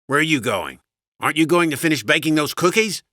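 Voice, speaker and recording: A male narrator plays a domineering husband in an audiobook story. He is crude and sneering, pushing his voice loud and high with bright, forceful edges. The sound is dry and close. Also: expressive, quick pace, dry recording. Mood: brusque. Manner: loud, belligerent, sneering, swearing. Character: gruff, crude, domineering cigar-smoking husband.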